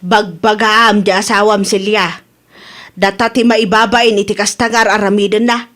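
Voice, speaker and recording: A woman's voice: medium pitch, little pitch movement, moderate pace, fairly bright tone, lightly acted. A woman with an Ilocano accent playing a disapproving village mother in a comedy radio drama.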